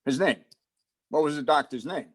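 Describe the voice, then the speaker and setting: A man's voice: fairly high pitch, normal speed, breathy edge. A man playing a police lieutenant in a 1940s-style radio drama, teasing and a little condescending. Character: male police lieutenant, wry, fond and patronizing.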